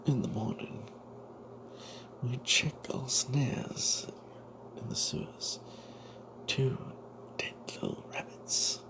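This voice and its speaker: A man narrating a fantasy tale in breathy ASMR whispers, in character as a wry, suspicious adventuring cleric, with a halting, hushed delivery. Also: mid-range voice, noisy background.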